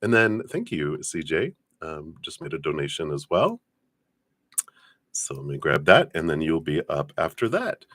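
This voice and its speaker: Low-voiced man with congested sinuses. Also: very animated, moderate pace, fairly bright tone, no room sound. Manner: friendly, rambling, frequent fillers and throat clearing. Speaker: tarot reader hosting a livestream. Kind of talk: casual livestream chat.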